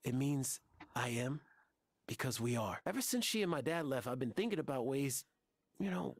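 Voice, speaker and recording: An actor voicing a playful, earnest young bartender boyfriend in a video game, casual and a little halting. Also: male voice, medium pitch, expressive, no echo, clean studio sound. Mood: earnest, tender. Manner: casual, halting when sentimental.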